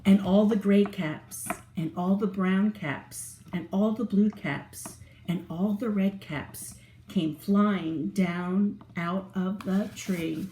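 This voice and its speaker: Slow, animated woman reading to kids. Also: medium pitch, slight background noise. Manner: animated, asks the listener questions. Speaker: children's storyteller. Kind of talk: reading a picture book aloud to children. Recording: roomy echo.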